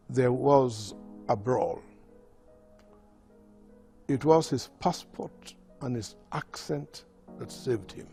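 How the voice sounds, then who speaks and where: An older man with a Nigerian accent reading his memoir aloud in a measured storytelling manner. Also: medium pitch, gravelly, mellow. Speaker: author reading from his autobiography.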